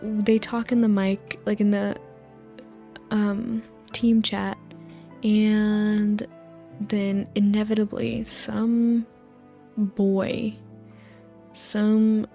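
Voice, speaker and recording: A woman with a soft voice, rambling over gameplay in a sleep-aid video, meandering and sometimes losing her thread. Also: medium pitch, little pitch movement, extremely slow, creaky, pauses often, soft background music. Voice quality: soft voice, drifting into whispers. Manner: soft, meandering, loses her train of thought. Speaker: gaming video host.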